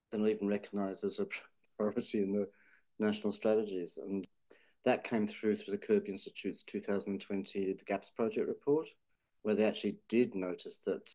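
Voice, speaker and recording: Male, deep and mellow, thoughtful hedging discussion speaker. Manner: thoughtful, hedging with fillers and restarts. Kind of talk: policy discussion.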